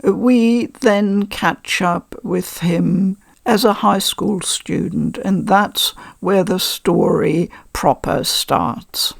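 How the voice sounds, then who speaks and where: A woman giving a measured, thoughtful and appreciative review in a casual explanatory style. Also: medium pitch, creaky. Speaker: reviewer presenting a visual novel unboxing.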